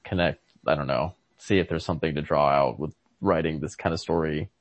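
A man in a casual book discussion, speaking haltingly as he searches for words, on a lo-fi recording. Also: extremely low pitch, dry recording. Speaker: podcast host. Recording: lo-fi home recording.

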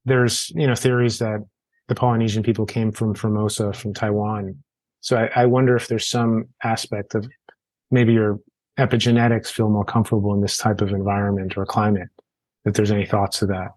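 A man's voice: on the low side, little pitch movement, fairly quick, a little mellow, no echo, rough recording. A man interviewing a writer, asking long, winding questions with restarts.

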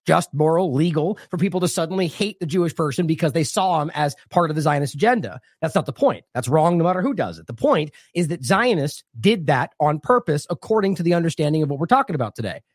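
News host, male, somewhat high pitch, rambling explainer who reads quotes aloud, dry booth sound. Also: no room sound. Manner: discursive, self-correcting, reads quotes aloud. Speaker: independent news show host. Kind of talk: commentary over played clips.

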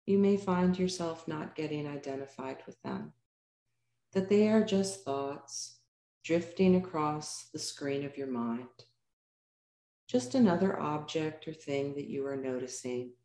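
Female meditation teacher, mellow and calm, measured phrasing with pauses, roomy echoing sound. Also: low-pitched. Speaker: yoga nidra meditation teacher. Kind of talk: guided meditation narration.

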